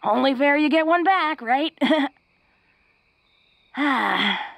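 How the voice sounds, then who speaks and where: A woman voicing a tough but awkward, smitten orc girl in an intimate romantic audio roleplay, stammering bashfully in informal, rough-and-ready speech. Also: high voice, expressive, extremely slow, fairly bright tone, dry recording, full character voice. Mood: tender, shy.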